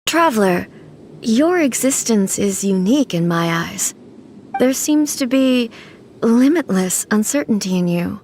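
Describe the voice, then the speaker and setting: Slow, imperious goddess ruler. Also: female voice, a little high, lively intonation, a little mellow, slight background noise. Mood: solemn, unyielding. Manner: measured, formal, grave delivery. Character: solemn, imperious female god ruler devoted to eternity. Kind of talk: dramatic video game voice acting.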